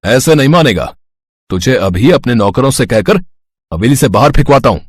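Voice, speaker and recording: A man's voice: mid-range voice, expressive, normal speed, husky, dry recording, clean studio sound. A man playing a rich, haughty village landlord in a moral story, with a domineering manner. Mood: angry. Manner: scolding, domineering. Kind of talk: dramatised moral story acting.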